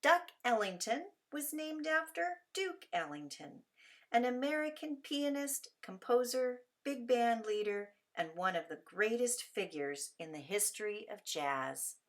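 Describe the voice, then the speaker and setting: A woman speaking as a music teacher, reading a children's story and explaining it in an animated, deliberate, instructive way. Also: fairly high pitch. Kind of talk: expressive children's read-aloud and lesson.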